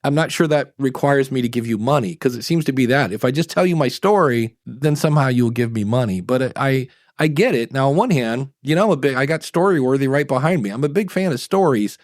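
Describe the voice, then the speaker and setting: A man's voice: mid-range voice, darkish tone, dry recording. Rambling man podcaster, dry close sound. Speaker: podcast host. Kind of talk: casual opinion talk.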